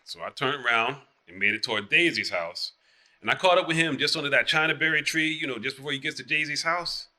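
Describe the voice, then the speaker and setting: A very bright, forceful folksy Southern town marshal, acted by a man. He rambles and boasts in country dialect, hedging his way around every excuse like a character on stage. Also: on the high side, quick pace. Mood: animated, defensive. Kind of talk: theatrical dialect storytelling.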